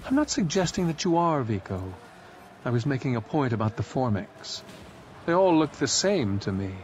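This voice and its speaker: A man's voice: mid-range voice, very animated, normal speed, darkish tone, slight background noise, natural voice. A man reading aloud voicing a young woman soldier who argues her point insistently. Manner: insistent, argumentative.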